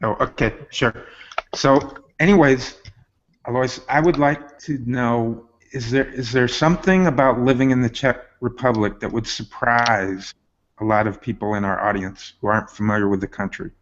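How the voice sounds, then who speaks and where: Male host on a video call, even pitch, measured questions, muffled call audio. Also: slight background noise. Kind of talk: casual interview on a video call. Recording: dull web-call audio.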